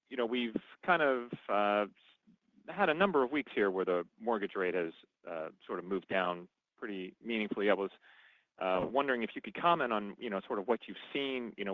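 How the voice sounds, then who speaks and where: Deep-voiced man on a phone line. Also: no room sound. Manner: hesitant, roundabout phrasing. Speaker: analyst questioning executives. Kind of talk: earnings call question. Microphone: telephone conference call. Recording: narrow, tinny phone line.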